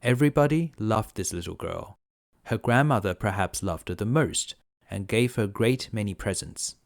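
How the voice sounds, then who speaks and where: A man narrating a children's fairy tale as a bedtime storyteller, in a measured, simple delivery. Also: on the low side, animated, creaky.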